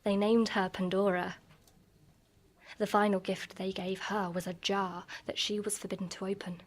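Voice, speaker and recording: Woman acting a mysterious young beauty telling myths, medium pitch, measured, keeps you in suspense. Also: flat delivery, natural voice. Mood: solemn storytelling. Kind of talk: dramatic storytelling in radio drama. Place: live on a festival stage.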